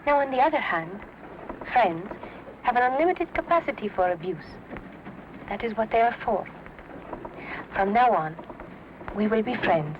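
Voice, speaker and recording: A woman's voice: a little high, lively intonation, moderate pace, pauses often, close to an everyday voice. A woman playing an imperious, witty wealthy lady in a Western drama, with a worldly, drily cynical way of talking. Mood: haughty, wry. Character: imperious, witty wealthy lady shedding formalities with her servant. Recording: muffled lo-fi, loud background noise.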